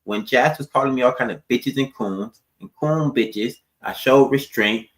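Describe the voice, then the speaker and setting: A man with a squeaky voice, arguing as a podcast guest and defending himself, going from calm to loud. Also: normal speed, crowd noise. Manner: defensive, swings between quiet and loud. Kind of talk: heated debate.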